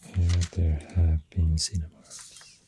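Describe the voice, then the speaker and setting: A soft-spoken ASMR host with a Swedish accent, talking in a hushed, breathy, partly whispered voice, halting and gentle, close to the microphone. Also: low-pitched, flat delivery, over music.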